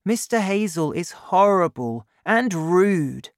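An excitable young boy with a high, lively voice, full of eager questions, as a man reads him aloud. The delivery is unhurried and the sound is dry and close. It comes across as a storybook character voice. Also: no echo, heavily acted. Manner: raised pitch, excited questions. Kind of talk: audiobook character voice.

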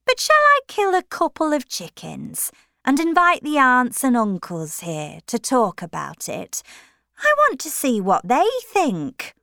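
Female reader as a fussy, anxious wife of about forty, high and pleading, dry recording. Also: huge pitch swings, unhurried, vocal fry, bright, forceful tone, no echo, full character voice. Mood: timid.

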